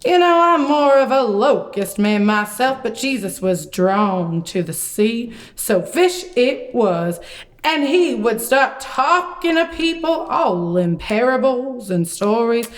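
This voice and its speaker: A folksy puppet prophet for a children's show, an excitable old-time storyteller man acted out by a woman. The voice sits fairly high and takes its time, drawing words out theatrically. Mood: folksy reminiscing. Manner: folksy, theatrical, drawn-out delivery.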